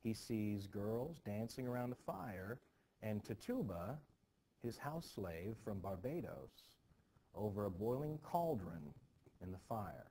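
A man teaching students about a play in an informal, animated storytelling manner. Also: on the low side, slow. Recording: clearly noisy background.

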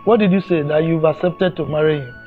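A man playing a Nigerian family elder with a high male voice. He admonishes and repeats himself, with music underneath the film drama. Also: fast talker, phone-quality sound, natural voice. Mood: reproachful.

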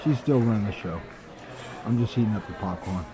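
A man's voice: lowish voice, fairly quick, a crowd in the background, natural voice, low-quality recording. A man playing a scruffy, weary space vagabond in an audio drama, muttering sardonically. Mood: weary, sardonic.

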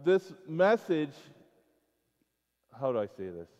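A middle-aged American man giving an informal children's sermon in a church, halting between short phrases and putting questions to his listeners. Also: a little high, big pitch swings, a little mellow. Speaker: school chapel speaker. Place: church sanctuary, speaking before schoolchildren. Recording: dull, echoing church hall pickup.

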